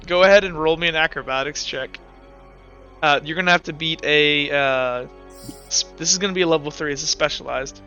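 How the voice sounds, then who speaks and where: Guy game mastering a tabletop rpg, high bright voice, casual and animated storyteller, bit of music behind. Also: a little fast, dull audio. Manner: informal, animated storytelling with sound effects. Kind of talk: narrating and refereeing a tabletop role-playing game among friends.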